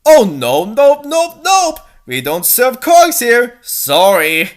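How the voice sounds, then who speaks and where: Reader doing a dismissive cartoon café owner, animated pitch. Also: slow.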